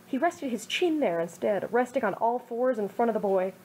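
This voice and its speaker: A young woman reads a story aloud in a gentle, earnest way, her voice sitting a little high. The reading is homemade, with a faint hiss of background noise behind her. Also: lively intonation, moderate pace, rough recording. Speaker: fan fiction reader. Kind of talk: amateur audiobook reading.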